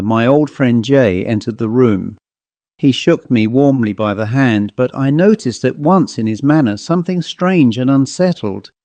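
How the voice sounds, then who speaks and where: Mellow male ghost story narrator. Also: mid-range voice, dry recording. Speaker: audiobook narrator.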